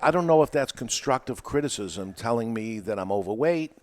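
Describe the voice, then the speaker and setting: A man's voice: medium pitch, animated, a little fast, darkish tone. A middle-aged man with a New England accent, hosting a podcast in a casual, chatty way, repeating words as he talks. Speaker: podcast host.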